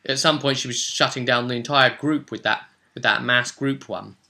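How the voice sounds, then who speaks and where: A British man with a strikingly bright, forceful voice at an average male pitch. He talks like a game master casually recapping a session, rambling along in colloquial, story-telling fashion. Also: fairly even intonation, moderate pace, vocal fry.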